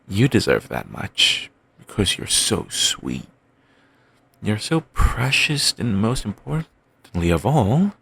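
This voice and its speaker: A young man voicing an obsessive, doting boyfriend in an ASMR audio roleplay, murmuring soft, soothing, controlling reassurances. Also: vocal fry, slightly rough, full character voice. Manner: coaxing, endlessly affectionate, quietly controlling. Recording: home mic, low-quality.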